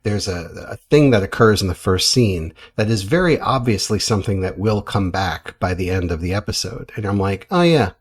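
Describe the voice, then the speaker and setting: Male podcast host, lower register, casual conversational delivery. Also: expressive, normal speed, hardly any pauses, slightly rough.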